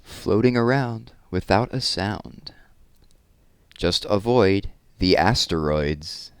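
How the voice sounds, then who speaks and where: Deep-voiced man reading aloud, very animated. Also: clean tone, no echo. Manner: animated, deliberate storyteller delivery. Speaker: read-aloud host. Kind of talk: expressive children's picture book read-aloud with informal asides.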